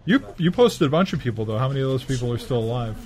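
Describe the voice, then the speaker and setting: A man's voice: medium pitch, lively intonation, very fast, darkish tone. A man hosting a podcast, chatting casually and playfully with his co-hosts before recording, steering the others to the mics. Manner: playful, joking, directs the others. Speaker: podcast host. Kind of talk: casual pre-show chat.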